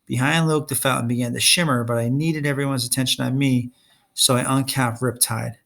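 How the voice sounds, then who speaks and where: A man reading a story aloud as an expressive narrator. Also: mid-range voice, a little fast, creaky. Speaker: storybook reader.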